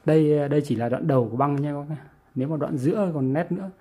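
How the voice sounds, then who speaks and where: Husky northern Vietnamese man. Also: a little high, fast talker, mellow. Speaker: vlogger presenting a how-to. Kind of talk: casual hands-on tutorial talk. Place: small indoor room with audio gear.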